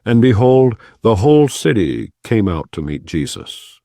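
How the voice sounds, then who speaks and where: A man giving a measured, solemn scripture reading. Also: fairly low pitch, dry recording, studio quality. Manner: measured, solemn, expressive intonation. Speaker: scripture reader.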